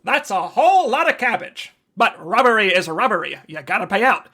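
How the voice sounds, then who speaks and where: A woman's voice: mid-range voice, big pitch swings, fairly quick, sharp tone, slight background noise. A woman playing an eager, theatrical secret agent who narrates like an old-time radio detective. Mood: jaunty, wisecracking. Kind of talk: 1940s radio detective acting.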